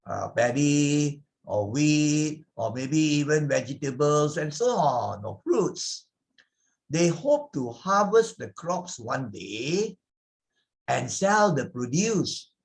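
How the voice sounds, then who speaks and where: A man's voice: a little high, gravelly. A man with a Malaysian accent telling a story as a teacher, explaining as he goes and often checking with little tag questions. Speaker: teacher narrating a moral story.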